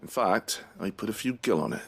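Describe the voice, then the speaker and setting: An actor playing a gruff, talkative mercenary gate guard in a video game, with a British accent and a blunt, colloquial, grumbling manner. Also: male voice, lowish voice, expressive, a little fast. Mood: grudgingly helpful.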